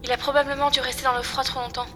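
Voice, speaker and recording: A woman's voice: sits high, little pitch movement, rapid-fire, noisy background, close to an everyday voice. A woman voicing a practical, sardonic AI companion in a French sci-fi audio drama, clipped, factual and cool. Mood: cool, observant. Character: female AI assistant, brisk, practical, sardonic companion. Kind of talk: French science-fiction audio drama acting. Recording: music behind the voice.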